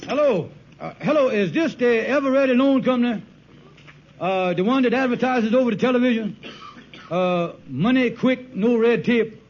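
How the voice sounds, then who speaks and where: A man's voice: very high-pitched, expressive, normal speed, a little mellow. An actor playing a scheming, broke, blustering married man who stalls and wheedles in ungrammatical talk on an old radio sitcom. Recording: muffled, noisy old radio recording.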